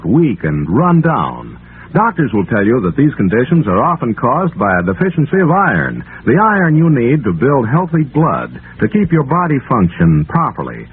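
Earnest, very animated male radio announcer, tinny. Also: mid-range voice. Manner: earnest, emphatic, formal. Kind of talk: old-time radio ad read. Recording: tinny old radio, faint noise.

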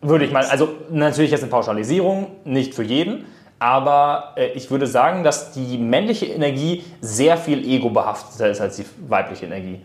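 A man's voice: mid-range voice, lively intonation, fairly quick. A man who works as a coach, speaking in casual podcast conversation, explaining his views carefully and correcting wording. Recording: echoey room.

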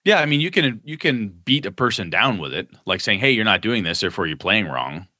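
A man with a North American accent and a low voice, recorded close and dry. He talks as a podcast co-host in a loose, slangy, conversational style, moving between discussion and reading messages out. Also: sing-song, fairly quick, pushed and bright, no echo. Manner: informal, slangy. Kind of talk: casual discussion, reading listener messages aloud.

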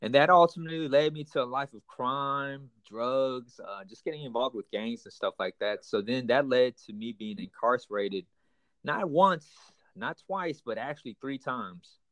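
Guest: young man from Texas, earnest, halting, mid pitch, dry close sound. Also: fairly bright tone, dry recording. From United States, raised in Houston, Texas. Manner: earnest, halting with many fillers and restarts. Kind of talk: personal testimony in an interview.

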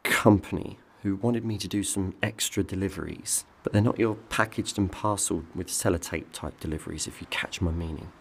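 An actor with an English accent playing an impatient, shifty delivery driver in a dark comedy audio drama, speaking colloquially. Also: male voice, sits low, a little fast, gravelly, hardly any pauses, husky, full character voice. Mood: secretive, wheedling. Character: exasperated, shifty male delivery driver, easily riled.

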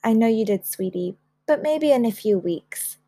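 Woman as a gentle, high-voiced storybook mother. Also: lively intonation, normal speed, no room sound, clean studio sound. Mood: consoling. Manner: soothing, affectionate. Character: gentle, reassuring mother of a young girl.

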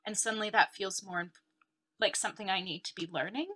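A woman's voice: mid-range voice, steady tone, quick pace, bright, forceful tone. A woman from Canada, a guest talking reflectively over a video call, with many "like"s and "um"s. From Ontario, Canada. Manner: reflective, chatty with many likes and ums. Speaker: guest, dancer and researcher. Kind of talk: casual interview chat.

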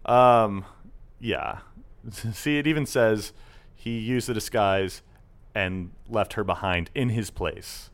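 A man's voice: fairly low pitch, takes it slowly. A young man hosting a casual podcast chat, joking and often slipping into silly put-on voices. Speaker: podcast host.

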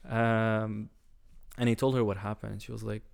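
Low gravelly man. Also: level tone. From Syria. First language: Arabic. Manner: halting, searching for words, laughs often. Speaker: guest. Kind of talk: casual storytelling chat.

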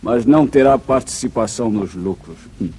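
Casting: treacherous western outlaw, Brazilian accent, husky and mellow-toned, menacing, 1950s radio melodrama. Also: male voice, mid-range voice, animated, normal speed. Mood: cold menace. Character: cold, treacherous male outlaw and murderer. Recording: muffled vintage radio drama recording.

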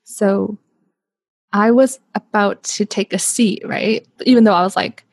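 A woman in her thirties from Canada, a guest telling a story in a casual interview, chatty and animated with lots of "like". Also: mid-range voice, unhurried, vocal fry, no echo, clean studio sound.

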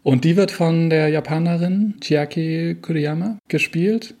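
A man talking casually and enthusiastically about a film in a relaxed discussion. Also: on the high side, unhurried. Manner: enthusiastic, conversational, loose phrasing.

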